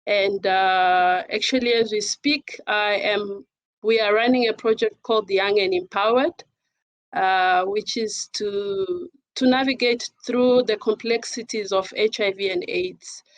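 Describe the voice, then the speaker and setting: A woman speaking as an advocate on a panel in a formal, measured and somewhat hesitant manner. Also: mid-range voice, fairly even intonation, no room sound, poor audio. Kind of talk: panel talk introducing herself and discussing.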